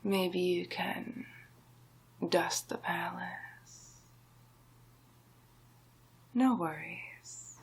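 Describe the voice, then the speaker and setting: A woman voicing a scheming, domineering male sorcerer villain in a slow, breathy, often whispered ASMR roleplay. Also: fairly low pitch, heavily acted. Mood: condescending, menacing. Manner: slow, drawn-out, menacing, hypnotic.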